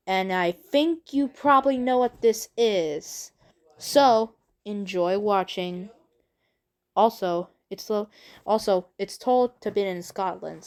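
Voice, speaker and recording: A woman talking casually and unscripted as a video creator, in a halting, rambling way with repeated phrases. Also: medium pitch, smooth. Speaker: video creator introducing own work. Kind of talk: casual unscripted vlog talk.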